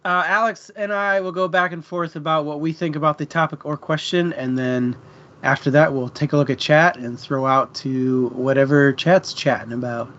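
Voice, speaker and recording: A man's voice: on the high side, steady tone, moderate pace, fairly bright tone, a little hiss or hum. Laid-back male co-host, muffled audio. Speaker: co-host of a book discussion stream. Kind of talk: casual chat.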